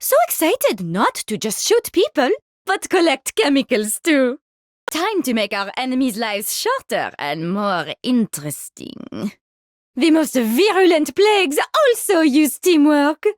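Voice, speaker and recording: An actress playing a giddy, macabre mad-scientist fungus doctor in a video game, theatrical and genteel, with a French accent. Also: female voice, sits high, very animated, a little slow, no echo, studio quality. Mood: giddy, smug. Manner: theatrical, gleefully morbid, genteel wording. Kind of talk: video game hero voice lines.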